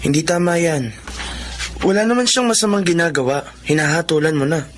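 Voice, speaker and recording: A man plays a gentle, fair-minded young Filipino son in a radio drama. His voice is a bit high for a man. Slight noise runs behind it. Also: brisk, close to an everyday voice. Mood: calm, reproving. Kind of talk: Tagalog radio drama acting.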